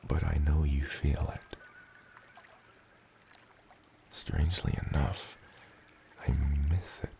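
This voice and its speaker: An immense father dragon speaks in an extremely deep, gravelly rumble. He is slow, tender and doting, choosing old-fashioned words in a soft ASMR roleplay style. Faint ambient noise sits behind him. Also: heavily acted. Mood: wistful, soft.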